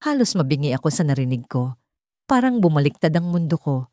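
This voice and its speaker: A woman's voice: low voice, sing-song, brisk, dark tone, no room sound, studio quality. A woman narrating a scripted first-person story as a storyteller.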